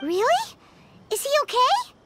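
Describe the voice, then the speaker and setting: A woman voicing a tiny, excitable and blunt fairy companion in a video game, with a squeaky childlike voice. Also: unhurried, very noisy, exaggerated voice. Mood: surprised, concerned. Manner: exclamatory, questioning. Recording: background music under the voice.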